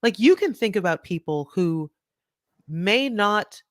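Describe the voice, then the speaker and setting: A middle-aged woman from Trinidad, a guest author talking in an animated way with many restarts and "right?" tags. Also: mid-range voice, takes it slowly, no echo, studio quality. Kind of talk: conversational interview.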